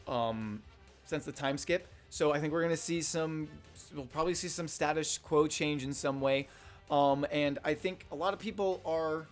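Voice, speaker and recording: A young man with a slightly hoarse voice, rambling casually through a manga chapter review with lots of fillers and restarts. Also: on the high side. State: slightly hoarse, losing his voice. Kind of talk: casual unscripted commentary.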